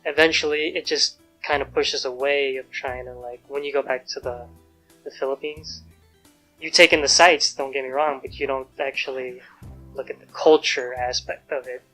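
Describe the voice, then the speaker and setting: A man's voice: medium pitch, fairly even intonation, moderate pace, pushed and bright, faint music behind, rough recording. A man reflecting and explaining his experiences in a personal interview.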